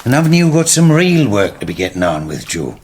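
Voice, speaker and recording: A man's voice: lowish voice, sing-song, brisk, darkish tone, slightly rough, exaggerated voice. A blunt, teasing old man. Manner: joshing, blunt. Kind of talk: radio drama acting.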